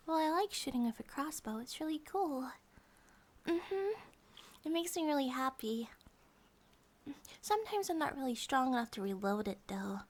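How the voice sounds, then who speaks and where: A woman acts out a grizzly bear monster-girl wife in an intimate ASMR roleplay. Her voice is fairly high and cutesy. She rambles softly to her partner, childlike, affectionate and a little possessive, at times slipping toward a whisper. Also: natural voice. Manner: cutesy, rambling, giggly, sometimes dropping to a whisper.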